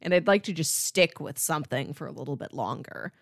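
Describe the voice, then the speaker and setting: A woman's voice: low-pitched, lively intonation, brisk, creaky, dry recording. Casual woman chatting, close dry sound. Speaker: podcast co-host discussing comics. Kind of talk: casual book discussion chat.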